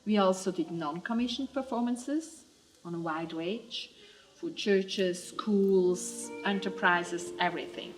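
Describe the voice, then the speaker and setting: A woman's voice: mid-range voice, slow pace, rough recording. A woman giving reflective interview-style talk as a theatre practitioner. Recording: clear music bed underneath.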